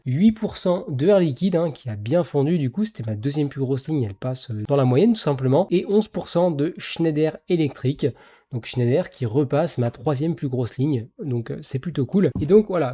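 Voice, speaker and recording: A young man with a congested voice from a head cold talks viewers through his investments in an easygoing, chatty way. His tone is dark and mellow, and he keeps dropping in little fillers as he goes. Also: mid-range voice. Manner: chatty, peppers talk with filler words and "les amis". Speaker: finance YouTuber reviewing his stock portfolio. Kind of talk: casual weekly video talk to viewers.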